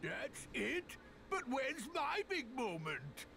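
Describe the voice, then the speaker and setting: A man voicing a big, oafish cartoon cat henchman in a game, grumbling in a gravelly, folksy voice. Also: very high voice, sing-song, slow pace, noisy background, exaggerated voice. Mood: baffled, impatient. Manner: grumbling, folksy bad grammar. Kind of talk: cartoon video game voice acting.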